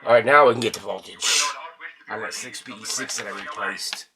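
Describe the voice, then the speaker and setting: A man's voice: lowish voice, brisk, vocal fry. Man muttering through a radio repair, chatter behind. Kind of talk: casual thinking-aloud repair demonstration.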